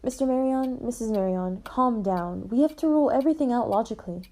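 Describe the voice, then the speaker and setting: A woman's voice: a little high, fairly quick, warm tone, lightly acted. A woman playing a level-headed, matter-of-fact investigator who keeps firm order in a puppet-show murder mystery. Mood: measured.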